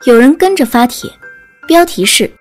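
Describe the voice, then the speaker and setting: Lively, high-voiced woman narrating. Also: normal speed, soft background music. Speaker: audiobook narrator. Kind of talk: scripted narration of a novel.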